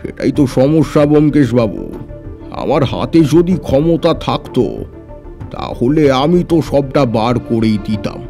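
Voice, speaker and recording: Wealthy village gentleman played by a male actor, dark mellow tone, confiding and conversational, soft music, audio drama. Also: mid-range voice, animated, unhurried, gravelly. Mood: concerned.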